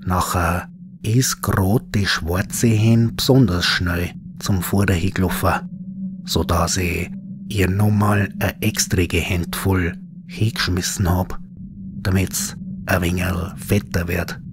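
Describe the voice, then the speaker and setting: A man with a Bavarian accent reads a first-person horror tale in dialect. His voice is low and his delivery is slow and measured, with breathy endings to his phrases. Clear ambient noise sits behind the narration. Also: steady tone. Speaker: storyteller. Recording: clearly noisy ambient background.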